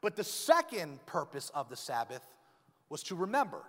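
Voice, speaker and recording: A man's voice: a little high, moderate pace. A man preaching a sermon as pastor, joking and acting out stories with quoted voices. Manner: lively, joking storyteller, acts out quoted voices. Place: church sanctuary. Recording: long reverb of a church hall.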